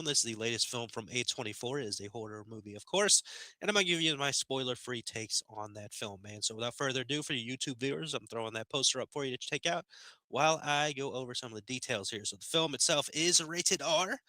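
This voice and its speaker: Chatty man with a bright, dry voice. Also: medium pitch, fast talker, no echo. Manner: laid-back, chatty, says "man" a lot. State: slightly nasal, getting over a sickness. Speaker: movie review channel host. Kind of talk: casual spoken film review. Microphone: headphones on, desk podcast mic.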